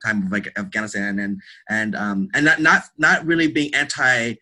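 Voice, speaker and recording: A man with a bright, crisp-edged voice talks as a guest in a casual interview. He works through his ideas aloud, often restarting a phrase. He checks in with the listener as he goes. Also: medium pitch, steady tone, moderate pace. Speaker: podcast guest, theatre artist and academic.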